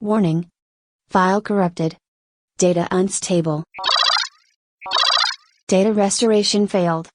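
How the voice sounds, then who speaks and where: A woman's voice: medium pitch, fairly even intonation, unhurried, pauses often, close to an everyday voice. A woman voicing an impersonal computer system in an audio drama, giving clipped alert announcements. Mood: impersonal alarm. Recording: loud noise with clicks and ringing.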